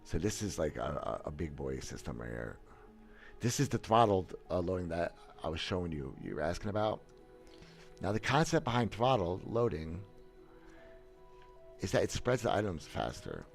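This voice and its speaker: A man in his thirties brags bluntly about his own skill, stumbling over restarts as he goes. His low voice swings widely in pitch. It is picked up on a headset mic in a small room, with faint music running underneath. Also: very animated, normal speed, creaky. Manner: boastful, blunt, many restarts and stammers. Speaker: gaming streamer. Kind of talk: casual livestream commentary. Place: small room, seated in gaming chair. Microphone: headset, webcam framing.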